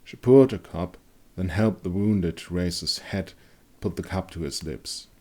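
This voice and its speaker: A man giving a dramatic scripted narration of a dark fantasy story. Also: low voice, unhurried. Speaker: author and narrator.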